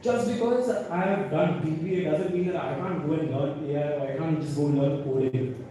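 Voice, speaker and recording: A man's voice: fairly high pitch, brisk, warm tone. A young man from North India with an Indian accent, sharing anecdotes on a panel in a conversational way, often checking in with "right?". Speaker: alumni panelist, businessman. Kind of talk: informal panel talk with anecdotes. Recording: echoey hall, distant mic, faint noise.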